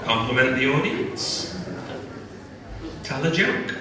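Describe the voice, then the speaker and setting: A young man with a Liverpool accent doing stand-up, his voice neither high nor low, chatty and self-deprecating. The sound is strongly echoey and picked up from a distance, with an audience in the room. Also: very animated, slow, a loud crowd. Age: young adult. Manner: self-deprecating, chatty, with filler "right?" tags. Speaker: stand-up comedian. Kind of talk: stand-up comedy set. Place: comedy venue with a live audience.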